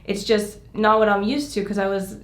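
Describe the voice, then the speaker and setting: A woman's voice: mid-range voice, fairly even intonation, normal speed. American woman chatting in echoey room. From United States. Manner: chatty storytelling with "like" fillers and laughs. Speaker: guest YouTuber. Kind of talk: casual chat. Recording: roomy echo.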